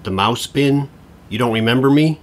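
An actor playing a harried, sarcastic divorced father in his forties, halting and exasperated, in a comedic audio drama. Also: medium pitch, natural voice. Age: middle-aged.

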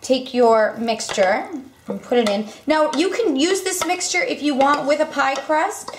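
A young woman casually walking through a home recipe at her stove, talking with lots of ums and quick self-corrections. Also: high-pitched, lively intonation, a little hiss or hum. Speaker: cooking vlogger. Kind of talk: casual cooking demonstration. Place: home kitchen. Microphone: camera mic, filming over the stove. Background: clinking pots and utensils.

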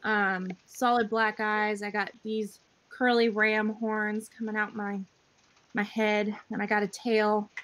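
A woman's voice: mid-range voice, flat delivery, slow pace, a bit bright. A woman on a video call describing her tabletop character in a casual, giggly way. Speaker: player. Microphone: webcam video call.

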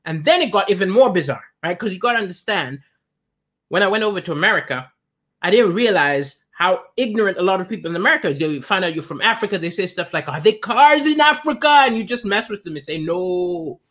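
Animated stand-up comic from Malawi. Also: male voice, high-pitched, quick pace. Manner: animated, gesturing, shifts between chatty asides and punchlines. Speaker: comedian and livestream host. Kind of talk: stand-up comedy on a livestream. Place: home kitchen, indoors. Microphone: webcam at desk.

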